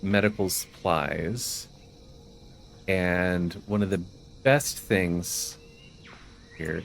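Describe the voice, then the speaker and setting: Deep voiced guy doing chill lets play commentary, game music underneath. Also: gravelly. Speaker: gaming video host. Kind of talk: casual game playthrough commentary with quest text read aloud. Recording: music behind the voice.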